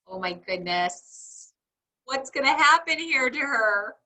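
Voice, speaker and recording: A woman reading a picture book aloud to young children in a teacherly, expressive way, putting questions to her listeners. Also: high-pitched, slow pace, poor audio. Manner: teacherly, asks questions and answers them; exaggerated, expressive child-directed delivery.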